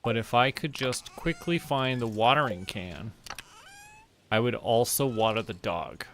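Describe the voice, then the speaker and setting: A man giving casual gameplay commentary, rambling and relaxed as he talks to himself. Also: mid-range voice, measured pace, slight background noise. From Canada. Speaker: streamer.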